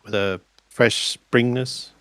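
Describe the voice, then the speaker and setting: A man improvising narration for a tabletop role-playing game, in halting, deliberate phrases with pauses. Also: medium pitch, steady tone, fairly bright tone, smooth, no echo.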